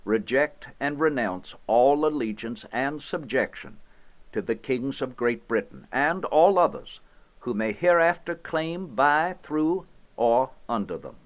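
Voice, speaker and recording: A male actor plays an eighteenth-century American statesman delivering a historical declaration, solemn and indignant. His mid-pitched voice rises and falls dramatically through measured, oratorical phrases with weighty pauses. The recording is a little dull and limited in bandwidth. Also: animated, lightly acted. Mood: firm, declaratory. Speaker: historical interpreter. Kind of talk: formal dramatic reading of an 18th-century political document. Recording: slightly dull, band-limited audio.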